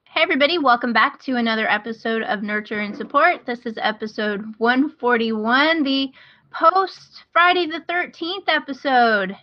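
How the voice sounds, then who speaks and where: A woman's voice: fairly high pitch, normal speed, lo-fi sound. A woman hosting a podcast, talking casually in a chatty, enthusiastic way about things she recommends. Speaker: podcast host.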